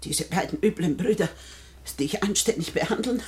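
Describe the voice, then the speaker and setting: An actress playing a sharp-tongued, imperious old lady in a German radio play, speaking with biting, deliberate scorn. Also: on the low side, lively intonation, close to an everyday voice. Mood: stern, warning. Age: older.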